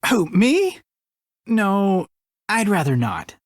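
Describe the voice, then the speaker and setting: An actress playing a timid, doubtful, apologetic townsperson in a video game. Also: female voice, medium pitch, sing-song, slow, no echo, studio quality. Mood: doubtful, reluctant. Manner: reluctant, apologetic.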